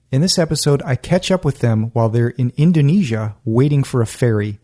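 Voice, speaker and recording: A male podcast host with an upbeat, friendly manner. He reads a scripted introduction, then moves to interview questions, with a voice of middling pitch. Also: mellow.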